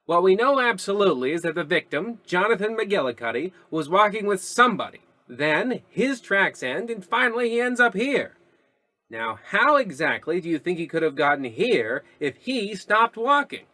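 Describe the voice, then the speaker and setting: Man acting an excitable, bright-voiced radio detective. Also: high voice, normal speed, dry recording, heavily acted. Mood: dramatic. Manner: melodramatic, emphatic. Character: excitable, overconfident male police detective with absurd logic. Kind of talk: old-time radio comedy acting.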